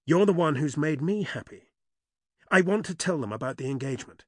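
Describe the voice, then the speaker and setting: A man's voice: fairly high pitch, lively intonation, fairly quick, a bit bright, no echo, clean studio sound. A male narrator voicing a confident man toasting his new fiancée with assurance. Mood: excited. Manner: beaming, assured. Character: confident, doting man announcing his engagement.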